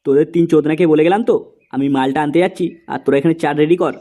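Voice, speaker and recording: Man acting bossy young man, cartoon comedy, foul-mouthed, fast, irritable. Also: a little high, dark tone, soft background music, natural voice. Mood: angry. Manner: scolding, crude.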